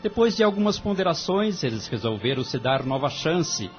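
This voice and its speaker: Guy doing pompous kindly judge, super lively intonation, radio play, muffled audio with music. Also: fairly high pitch, moderate pace. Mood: solemn, benevolent. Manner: formal, ceremonious, grandiloquent. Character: solemn, benevolent male judge, ceremonious and devout. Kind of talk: audio drama acting. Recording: dull lo-fi audio, music underneath.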